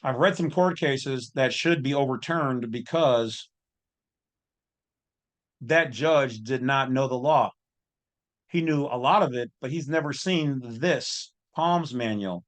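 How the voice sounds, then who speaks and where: Male online commentator, mid-pitched, folksy and opinionated, speaking off the cuff. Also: slow. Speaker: host of an online legal commentary channel.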